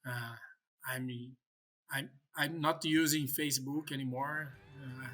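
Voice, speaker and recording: A man's voice: medium pitch, fairly even intonation, a bit bright, soft background music. Brazilian professor guy on a podcast, portuguese accent, hesitant, lots of uhs. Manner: halting, many uh fillers and restarts. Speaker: professor and guest. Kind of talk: podcast interview.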